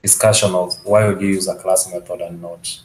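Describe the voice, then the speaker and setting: Low-voiced man in an echoey room. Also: little pitch movement, fairly quick, darkish tone, a little hiss or hum. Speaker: meetup participant.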